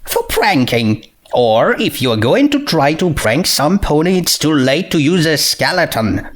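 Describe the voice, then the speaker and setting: A man's voice: a little high, sing-song, a little slow, voice pushed up. A man voicing a bookish young pony princess with a dry, incredulous, dismissive manner, in a storybook reading.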